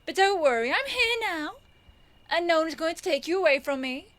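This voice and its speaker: Woman acting high-voiced, possessive obsessive lover. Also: expressive, normal speed, fairly bright tone. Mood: sweetly possessive. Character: clingy, possessive rich young woman, sweetly menacing obsessive lover. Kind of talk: yandere ASMR audio roleplay.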